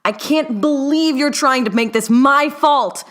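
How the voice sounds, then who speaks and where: A woman voicing a hot-tempered, foul-mouthed young male scientist in a story, shrill, slangy and outraged. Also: a little slow. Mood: indignant shouting. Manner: shrill, shrieking and shouting, slangy. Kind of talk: dramatic fiction reading.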